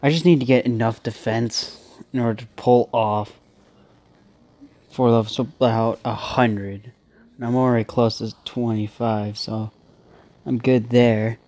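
A man's voice: medium pitch, steady tone, unhurried, long pauses, darkish tone. A young man with a stuffy nose giving rambling, casual commentary while playing a mobile game. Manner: rambling, thinking aloud with many restarts. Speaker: gaming channel host.

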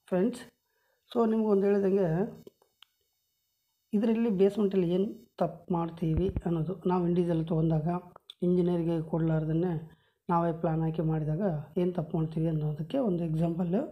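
A woman's voice: lowish voice, lo-fi sound. A woman explaining a house floor plan step by step, pointing with a pen at paper drawings on a table, recorded on a phone held over the page. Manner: plain step-by-step explanation, many measurements.

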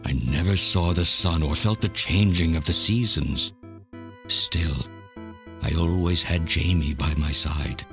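An actor playing an elderly, obsessed scientist villain in a video game, delivering a bitter, deliberate, theatrical confession. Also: male voice, low-pitched, fairly even intonation, fairly bright tone. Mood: lonely, obsessed. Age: older. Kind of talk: dramatic video game voice acting, monologue. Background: game music.

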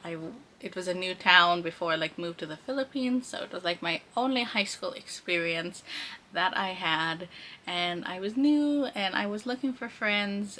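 A woman's voice: mid-range voice, sharp tone, slight background noise. A woman in her thirties chatting casually as a vlogger, in an informal, rambling way. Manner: chatty, informal, frequent use of "like". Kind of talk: casual chatty vlog talk.

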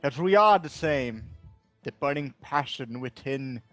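A man's voice: mid-range voice, lively intonation, a bit bright, dry recording, soft background music. A man reading as a brooding mystic voice. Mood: ominous. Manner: slow, dramatic reading.

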